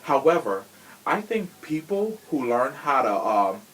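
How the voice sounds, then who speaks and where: Man, mid-range pitch, podcast host, casual chat, sassy, slangy, opinionated. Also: big pitch swings, a little slow, fairly bright tone, a little hiss or hum, poor audio.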